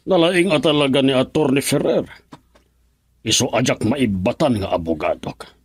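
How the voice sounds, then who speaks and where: Radio drama: a vengeful, proud older father, very rough husky voice, dry close recording. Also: mid-range voice, lively intonation, unhurried, no room sound. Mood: gloating.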